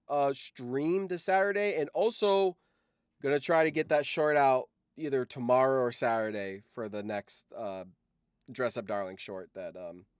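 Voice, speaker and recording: A man's voice: mid-range voice, smooth, no room sound. Dry-sounding man rambling on a livestream. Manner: rambling, profane. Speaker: livestream host. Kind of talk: casual livestream chat.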